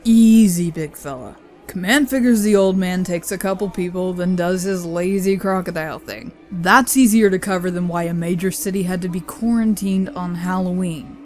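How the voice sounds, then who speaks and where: A woman's voice: on the low side, moderate pace, hardly any pauses, darkish tone, faint music behind, close to an everyday voice. A woman voicing a male field agent in a horror radio play, matter-of-fact and placating. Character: calm, reasoning male field agent soothing his partner.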